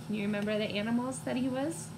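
A woman in her twenties reading a picture book to young children, expressive and teacherly, pausing to put questions to listeners. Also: a little high. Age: 20s. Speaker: read-aloud storyteller for young children. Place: small room at home, indoors. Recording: clear background noise, home recording.

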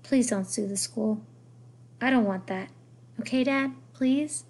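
A woman reading as an earnest, remorseful schoolboy, high voice. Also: a little slow, a little mellow. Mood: pleading. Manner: plain, earnest, insistent.

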